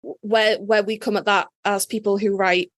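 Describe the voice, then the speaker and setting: A woman co-host talks in a chatty, playful way, often restarting her sentences mid-thought. Her voice sits at a middle pitch. It sounds close and dry, with little room sound. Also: flat delivery, moderate pace, no room sound. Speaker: podcast co-host. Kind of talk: casual chat.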